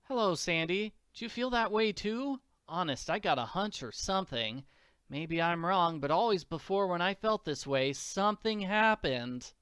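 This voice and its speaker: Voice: medium pitch, animated, moderate pace, fairly bright tone, clear, resonant tone, no echo, clean studio sound, voice pushed up, natural voice. A performer voicing an earnest, scrappy orphan girl from a comic strip, talking in folksy slang. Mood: pensive.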